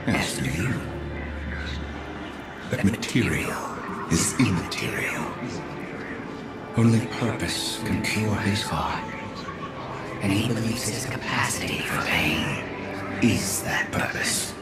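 An actor playing an ancient, sinister otherworldly tempter in a video game, calm and persuasive, with breathy whispers and deliberate, cryptic delivery. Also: male voice, fairly low pitch, over loud music. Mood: calm, insidious. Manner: slow, deliberate, cryptic pronouncements. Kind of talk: video game villain monologue.

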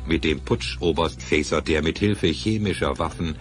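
A man's voice: very deep voice, moderate pace. A man reading scripted narration for a radio play, with music underneath.

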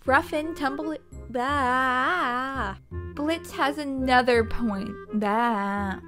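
High-pitched woman narrating at a measured pace. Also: fairly bright tone, soft background music. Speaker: video narrator presenting episode facts. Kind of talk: scripted narration with flubbed outtakes.

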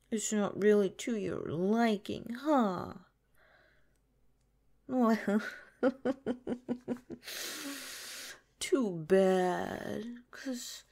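A woman voicing a possessive yandere nurse girlfriend in an ASMR roleplay, sweetly menacing, coy and breathy, often slipping into a whisper. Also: on the high side, warm tone, heavily acted. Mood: smug, teasing. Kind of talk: ASMR roleplay, soft intimate drawn-out delivery.

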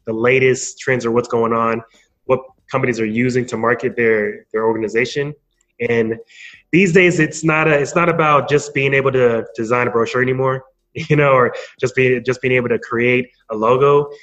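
A man giving an informal, halting answer as a guest in a casual interview. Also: medium pitch, fairly even intonation, fairly bright tone, lo-fi sound. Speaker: podcast guest, marketing speaker.

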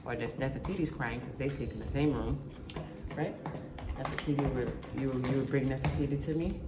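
A woman's voice: a bass voice, fairly even intonation, a little fast. A woman in her thirties chatting casually with her young daughter while cooking at home, playful and sing-song at times. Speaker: vlogger mother. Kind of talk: casual family vlog chat. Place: home kitchen, indoors. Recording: echoey kitchen with loud background noise.

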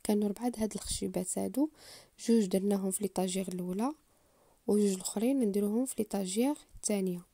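A woman with a Moroccan Arabic accent talks viewers through assembling furniture at a fast clip. Her voice is very dark and mellow, and it is recorded close and dry with no room sound. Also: mid-range voice, no room sound. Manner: unhurried, explanatory, addresses viewers directly. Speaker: home DIY channel host. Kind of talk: step-by-step assembly tutorial voice-over.

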